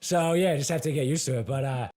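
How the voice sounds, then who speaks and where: Man, raspy voice, podcast host, casual chat, quick agreements. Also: mid-range voice, darkish tone. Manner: agreeable, chimes in with short affirmations.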